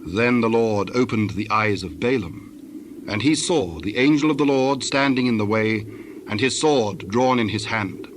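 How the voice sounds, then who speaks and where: A man's voice: on the low side, normal speed, slight background noise. A man narrating scripture from a script for a dramatized reading.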